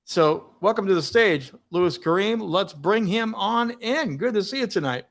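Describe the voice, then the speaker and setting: Man, show host, upbeat and emphatic, slightly high voice, live stream. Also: expressive, moderate pace. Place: home studio, Washington DC.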